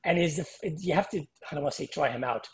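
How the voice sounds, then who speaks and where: A man's voice: fairly high pitch, fast. A man hosting a podcast, asking casual interview questions in a loose, chatty way with fillers. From United States. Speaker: podcast host.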